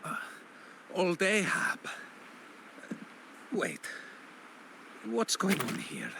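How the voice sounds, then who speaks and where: Excitable, wildly swooping old fisherman. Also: high-pitched, vocal fry, noisy background, exaggerated voice. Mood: dazed, confused. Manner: theatrical, halting, exclamatory. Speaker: game character voice actor. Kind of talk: theatrical video game character acting. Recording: loud music behind the voice.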